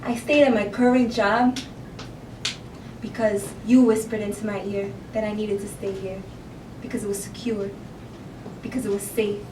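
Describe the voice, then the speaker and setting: A woman acting a theatrical monologue as someone wounded and defiant, confronting her abuser with emphatic, deliberate phrasing and long pauses. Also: close to an everyday voice. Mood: bitter, resolute. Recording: distant echoey room, faint rumble.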